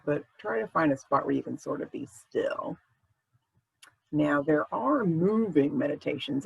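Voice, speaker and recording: A man guides a meditation in a fairly high voice full of heavy creak. He speaks very slowly and informally, with gentle, conversational instructions. The sound is a little dull. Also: no room sound. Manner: gentle, informal, unhurried phrasing.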